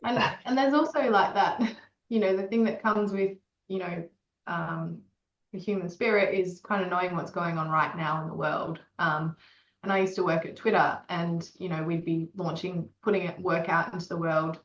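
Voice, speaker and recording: A woman's voice: fairly low pitch, normal speed. A woman co-founder and former marketer, talking reflectively with many fillers in a casual interview over a video call. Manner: hesitant, filler-heavy, reflective. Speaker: co-founder of an AI creative tool, ex-marketer. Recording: roomy, dull lo-fi call audio.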